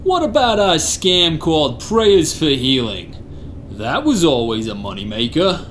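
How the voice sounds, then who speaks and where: Slow, swooping pompous gangster boss. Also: medium pitch, sing-song. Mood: scheming. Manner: deliberate, scolding mobster slang. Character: pompous, irritable gangster boss, curt and domineering. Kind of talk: comic radio theater acting. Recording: faintly noisy recording.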